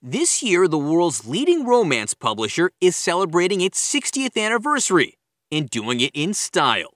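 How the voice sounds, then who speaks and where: Man narrating with big pitch swings. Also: huge pitch swings, moderate pace, a bit bright, dry recording. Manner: wry, playful delivery. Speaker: TV news reporter. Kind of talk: scripted narration.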